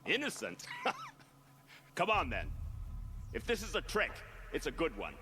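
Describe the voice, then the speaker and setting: A man's voice: high-pitched, wildly swooping pitch, slow, bright, forceful tone, a little hiss or hum, exaggerated voice. An actor playing a weary older male soldier on the run, wary, dry and blunt. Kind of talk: video game cutscene acting.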